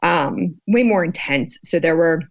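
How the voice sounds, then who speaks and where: A woman explaining her research in an interview, in a thoughtful, halting, conversational way. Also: fairly low pitch, dull audio. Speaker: guest, sociologist and fear researcher.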